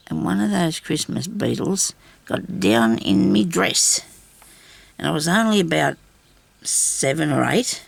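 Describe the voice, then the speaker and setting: Older woman, deep raspy voice, gravelly fry, chatty craft vlog. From Australia. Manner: chatty, self-deprecating, laughs often. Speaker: craft vlogger. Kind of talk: rambling casual chat while crafting.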